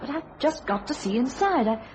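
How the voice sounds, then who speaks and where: An actress playing a haunted, pleading wife in a radio thriller, with an earnest and dreamy dramatic delivery. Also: female voice, high-pitched, big pitch swings, normal speed, a little mellow, a little hiss or hum. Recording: radio drama with music behind.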